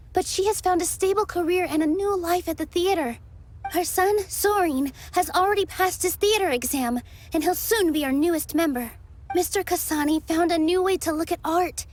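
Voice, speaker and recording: Earnest young dancer. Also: female voice, very high-pitched. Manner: sincere, gentle and heartfelt. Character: earnest young female dancer defending her theater.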